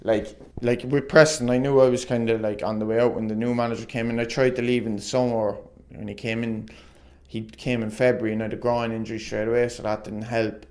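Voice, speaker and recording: A man's voice: medium pitch, flat delivery, brisk, few pauses, a little mellow. A young man, a former footballer, telling rambling stories in a casual interview, peppered with "like" and laughs. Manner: rambling, lots of "like", laughs often.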